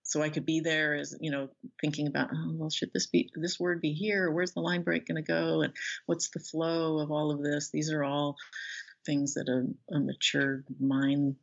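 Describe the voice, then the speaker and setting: A middle-aged American woman being interviewed as an author, speaking thoughtfully and haltingly as she searches for words. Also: low-pitched, flat delivery, creaky, dull audio. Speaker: interview guest, author and professor. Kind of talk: reflective interview conversation.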